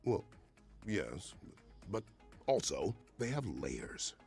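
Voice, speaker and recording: A man's voice: sits low, sing-song, slow, darkish tone, faint music behind. A man co-hosting a games showcase with scripted, playful, theatrical delivery.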